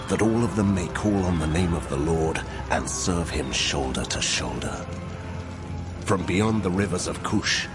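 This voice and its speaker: An actor voicing God as a solemn, commanding divine judge in a dramatized scripture reading, speaking in measured declarations. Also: male voice, low-pitched. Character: God, a wrathful yet restoring male divine judge.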